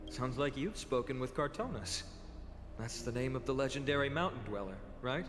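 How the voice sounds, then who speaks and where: Actor as an earnest, steadfast warrior hero, mid-pitched. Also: male voice, lively intonation, normal speed, music in the background, lightly acted. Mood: sincere, calm. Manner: sincere, reassuring, resolute. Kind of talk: scripted video game dialogue.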